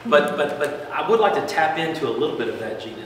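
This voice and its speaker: A man's voice: a little high, lively intonation, fast, a loud crowd. A man taking part in a workshop discussion, speaking hesitantly with frequent restarts. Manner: hesitant, many restarts and uhs. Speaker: workshop participant. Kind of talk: group discussion. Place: large meeting room, round table. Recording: echoey room, distant, noisy.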